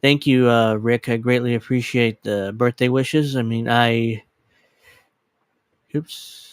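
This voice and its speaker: A man's voice: medium pitch, steady tone, slow, smooth, no echo, clean studio sound. A man in his thirties chatting casually as a livestream host, rambling and scattered, self-deprecating and casually profane. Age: 30s. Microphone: dedicated microphone.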